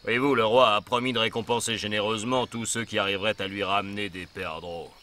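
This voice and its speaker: A man's voice: on the low side, fairly even intonation, brisk, bright, forceful tone, a little hiss or hum. A man acting as a chatty, exasperated hunter in a cartoon, with a folksy, grumbling manner.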